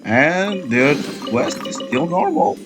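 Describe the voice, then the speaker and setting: Slow-talking man doing game commentary. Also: fairly high pitch, sing-song, over music. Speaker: let's play creator. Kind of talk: casual gameplay commentary.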